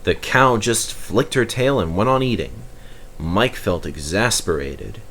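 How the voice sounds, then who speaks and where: Man, expressive children's story narrator, lowish pitch, lively intonation. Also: a little slow, a little hiss or hum.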